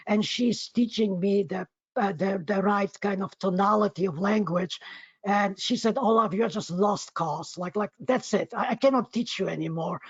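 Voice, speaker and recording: A man with a Polish accent speaks conversationally and effusively to an online audience, haltingly and often repeating words. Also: sits high, a bit bright, breathy edge, dry recording. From Poland. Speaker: guest speaker, magazine editor. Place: online video meeting. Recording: muffled lo-fi online call.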